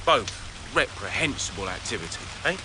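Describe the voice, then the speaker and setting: An actor playing a shifty petty looter with glib, hesitant official patter in a radio drama. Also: male voice, lowish voice, sing-song, normal speed, background noise. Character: shifty bogus government man, petty looter.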